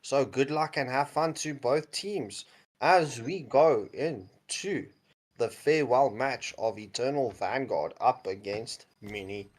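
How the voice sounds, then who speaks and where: A man's voice: medium pitch, breathy edge. Chatty man casting esports. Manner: laughs often, joking banter. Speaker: esports caster. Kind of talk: casual esports commentary.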